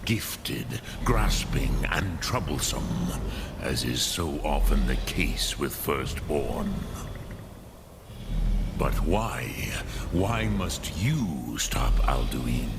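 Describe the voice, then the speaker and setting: A man voicing an ancient, wise male dragon in a fantasy video game, speaking patiently and deliberately with a weighty, gravelly voice and philosophical manner. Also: a bass voice, big pitch swings, very noisy, exaggerated voice. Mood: grave, musing. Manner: slow, deliberate, ponderous, pausing between phrases.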